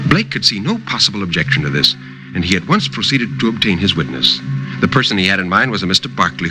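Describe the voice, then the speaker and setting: A man narrating a scripted horror story in a dramatic, theatrical and deliberate storytelling manner. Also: low-pitched, rough recording.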